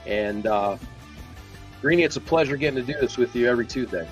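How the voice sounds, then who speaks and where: Mid-pitched man hosting over faint music. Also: a little fast, lo-fi sound. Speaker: co-host of a sports card live stream. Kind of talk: casual live-stream hosting.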